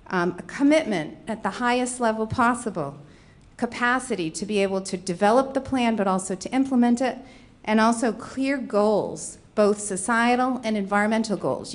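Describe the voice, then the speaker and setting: A middle-aged woman with a Rhode Island accent speaks as a conference panelist. She explains her slides one at a time in an even, mid-range voice. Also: medium pitch. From Rhode Island, USA. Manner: explanatory, walking through slides. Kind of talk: conference presentation.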